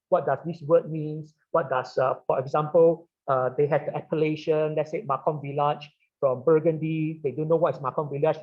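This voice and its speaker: A middle-aged man with a Singaporean English accent and a slightly high voice, speaking on a webinar panel. He pauses on "uh" a great deal and checks in with "right?" as he explains. The audio is dull and lo-fi, like a video call. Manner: halting, many "uh" fillers and "right?" tags. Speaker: wine retailer and sommelier.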